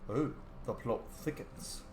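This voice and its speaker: A man's voice: takes it slowly, roomy sound, noisy background. A man playing a tabletop roleplay, reacting to a story with laughs, swearing and chatty asides. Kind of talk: casual chat reacting to a story.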